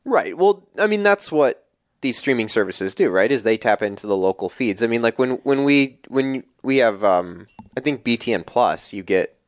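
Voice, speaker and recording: A man's voice: mid-range voice, animated, normal speed, no room sound, clean studio sound. A man co-hosting a casual sports podcast, rambling and joking with plenty of restarts. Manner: rambling, jokey, lots of restarts. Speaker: podcast co-host.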